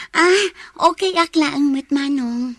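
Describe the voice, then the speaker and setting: A young woman in a radio drama, easily charmed. Her high voice is polite, a little hesitant and friendly. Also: slow pace, a bit bright, dry recording, heavily acted. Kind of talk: radio drama acting in Ilocano.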